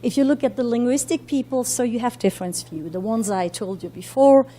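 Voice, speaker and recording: Fast-talking woman lecturing with many fillers. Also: fairly high pitch, mellow. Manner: talks quickly with many fillers and self-corrections. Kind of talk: academic lecture. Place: university lecture room.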